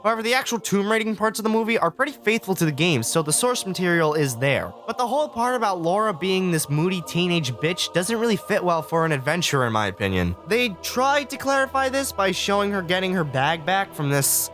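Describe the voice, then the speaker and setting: Animated, higher-voiced man reviewing movies. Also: a little fast, few pauses, soft background music. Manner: exasperated, sarcastic, swearing freely. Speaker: video game reviewer and host. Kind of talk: casual scripted video review commentary.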